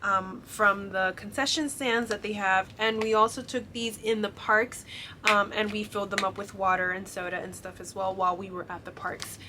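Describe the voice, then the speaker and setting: A woman's voice: medium pitch, fairly even intonation, moderate pace, background noise. A young woman chatting casually to camera, her voice stuffy and congested from a cold, filling her talk with ums and likes. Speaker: vlogger showing a shopping haul.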